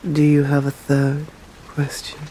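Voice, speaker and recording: A woman with an English accent playing a stern, courteous female vampire in an ASMR roleplay, speaking formally and deliberately in a breathy voice that often drops to a whisper. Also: low voice, monotone, warm tone, slight background noise. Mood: composed, intrigued. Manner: slow, deliberate, formal, menacing.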